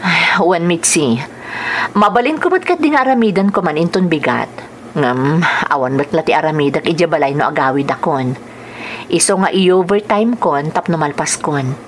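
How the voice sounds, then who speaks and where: A man's voice: a little high, lively intonation, normal speed, close to an everyday voice. An actor with a Filipino accent playing a reserved, hesitant young male office worker in a radio drama. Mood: resigned. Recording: background noise.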